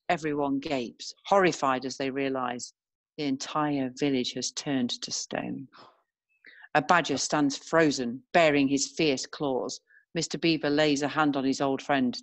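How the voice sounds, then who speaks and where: Woman, narrator, low pitch, scripted screenplay narration, reading scene directions. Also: fairly even intonation, measured pace.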